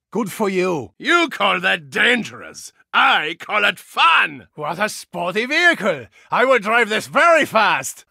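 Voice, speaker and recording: An actor playing a brash, unhinged soccer hooligan brawler in a video game, raspy and bombastic, boastful and eager for violence. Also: male voice, high-pitched, no room sound, studio quality, exaggerated voice. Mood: dry, candid, then excited, boastful. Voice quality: gravelly, raspy. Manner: bombastic, theatrical, swinging from mutters to shouts. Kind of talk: video game character voice lines.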